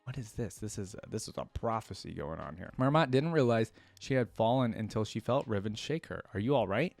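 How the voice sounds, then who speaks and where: A man's voice: lowish voice, lively intonation, fairly quick, gravelly, darkish tone, dry recording. A man reading a fantasy story aloud and breaking off for casual, informal commentary. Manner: informal, tag questions like "right?". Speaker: reaction video host.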